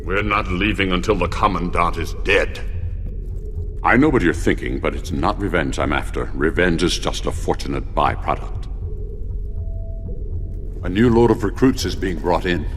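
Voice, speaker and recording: Really deep grave mage ally from a video game, weary but determined, big echoey hall. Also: male voice, normal speed, pauses often, fairly bright tone, slight background noise. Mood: weary, resolute. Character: grave male mage ally, weary, resolute escaped prisoner. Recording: long hall reverb under music.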